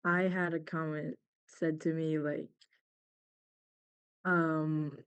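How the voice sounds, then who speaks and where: A woman's voice: low voice, flat delivery, slow, clean tone. A woman student speaking casually and a little hesitantly on a video call, sharing personal experience. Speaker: student participant.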